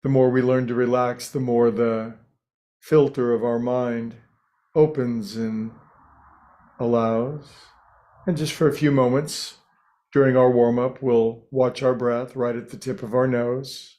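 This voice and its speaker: Male meditation teacher, mid-pitched voice, slow pace, gentle and soothing delivery, frequent pauses. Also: level tone. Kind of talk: guided meditation.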